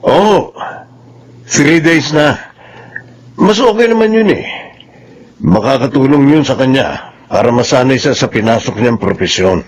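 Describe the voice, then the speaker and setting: A man's voice: medium pitch, lively intonation, measured pace, pauses often. An actor with a Filipino accent playing a stern, domineering middle-aged father and doctor in a radio soap, scolding and mixing in English. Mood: casual, explaining. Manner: harsh, scolding, code-switches Tagalog with English.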